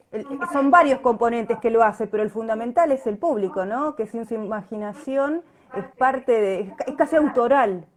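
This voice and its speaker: A middle-aged Argentine woman, an actress being interviewed over a video call, talking conversationally with asides and frequent checking tags. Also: a little high. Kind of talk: conversational reminiscing and opinion.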